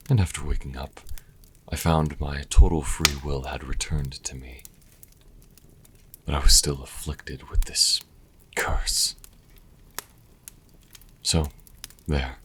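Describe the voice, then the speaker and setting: A man's voice: a bass voice, fairly bright tone, a little hiss or hum, full character voice. A man playing a sassy vampire and reluctant caretaker in an audio roleplay, speaking dryly and sardonically to the listener. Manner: dry, sardonic, unhurried. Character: sassy male vampire, former thrall, grudging caretaker.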